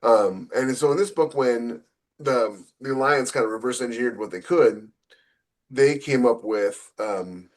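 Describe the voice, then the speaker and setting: A man's voice: medium pitch, a little slow. Man chatting casually as a podcast co-host. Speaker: podcast co-host and science fiction author.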